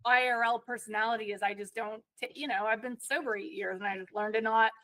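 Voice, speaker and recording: A woman hosts a podcast with a chatty, candid way of talking and a somewhat raised pitch. She rattles through stories with quick asides. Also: steady tone, a bit bright, poor audio. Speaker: podcast host. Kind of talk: casual chat.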